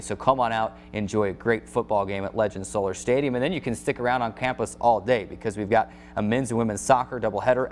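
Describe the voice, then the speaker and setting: A man's voice: medium pitch, slight background noise. American male sports show host, promotional delivery. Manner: promotional, encouraging viewers to attend. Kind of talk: sports news rundown to camera.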